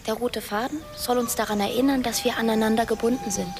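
A woman's voice: fairly high pitch, fairly even intonation, fast, natural voice. An actress playing an earnest, secretive young woman who speaks pleadingly in a radio drama. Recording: music behind the voice.

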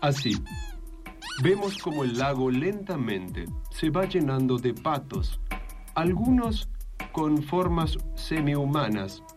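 A man playing a grave, measured wildlife documentary narrator in a comedy improvisation. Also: on the high side, sing-song, a little mellow, soft background music. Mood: solemn. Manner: grave, measured, mock-serious.

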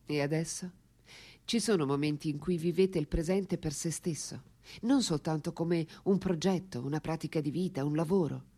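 An actress playing a philosopher's lifelong companion, a woman writer in a radio drama, speaking quietly with composed, deliberate gravity and tenderness. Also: deep voice, breathy edge. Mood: tender, curious. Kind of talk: radio drama acting and intimate narration.

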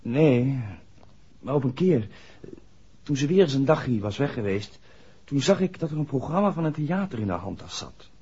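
Earnest young garage man, husky. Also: medium pitch, sing-song, moderate pace, mellow, exaggerated voice. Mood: defensive. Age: young adult. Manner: hesitant, deferential to his questioner. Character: earnest young village garage man, worried about mother. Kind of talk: 1960s radio play acting. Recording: dull 1960s radio drama recording.

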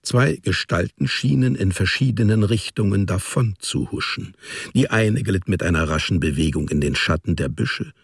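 Male narrator, very low voice, husky, measured literary storytelling, radio drama. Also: dry recording, clean studio sound.

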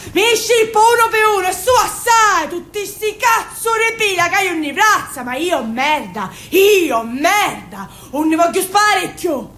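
A woman's voice: a bit bright, exaggerated voice. An actress playing a brash, dreamy young Sicilian woman, poor and yearning, in an emotional theatrical monologue that swings between confiding and exclaiming. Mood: bitter, defiant. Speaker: stage actress. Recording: roomy sound, faint low rumble.

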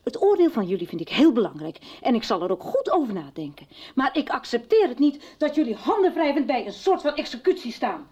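An emotional, defensive friend. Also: female voice, on the high side, big pitch swings, brisk, hardly any pauses, a little mellow, slightly rough. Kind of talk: 1990s radio soap acting.

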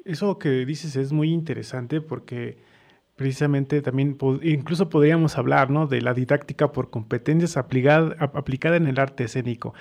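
A man's voice: medium pitch, moderate pace, gravelly, darkish tone. A Mexican man hosting a conversational radio interview. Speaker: radio host.

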